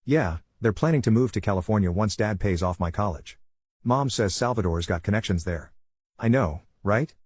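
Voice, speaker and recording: A man's voice: fairly low pitch, expressive, moderate pace, husky, no echo, studio quality. A man voicing a gossipy, scornful teenage daughter chatting casually in a narrated story.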